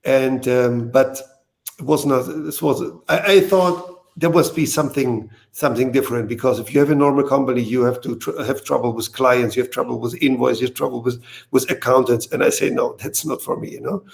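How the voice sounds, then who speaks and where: A man from East Germany, a native German speaker talking with a German accent, who speaks in a halting way, repeats words and uses non-native phrasing as a guest on a video call. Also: medium pitch, steady tone, gravelly. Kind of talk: casual interview talk.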